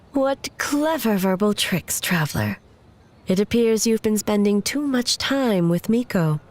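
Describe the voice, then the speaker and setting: A woman's voice: medium pitch, animated, slow pace. A woman playing a solemn, dignified immortal ruler in a video game, speaking in a formal, reserved way. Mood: wryly relenting. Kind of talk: video game character acting.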